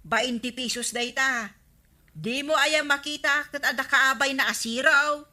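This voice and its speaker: An actress with a Filipino accent playing a put-upon, excitable village storekeeper in a radio comedy drama. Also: female voice, high-pitched, measured pace, exaggerated voice. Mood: exasperated.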